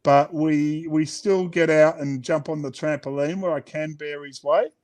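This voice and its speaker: A man's voice: a little high, fairly even intonation, unhurried, darkish tone. Earnest Australian man in conversation. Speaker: children's author, interview guest. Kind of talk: casual conversational interview.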